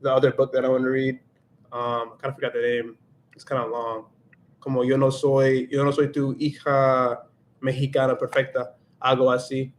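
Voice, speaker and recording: A man's voice: mid-range voice, completely flat delivery, normal speed, slight background noise. Reflective man thinking aloud on a livestream. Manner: reflective, thinks aloud, switches into Spanish now and then. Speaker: livestream host and Spanish learner. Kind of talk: casual livestream chat.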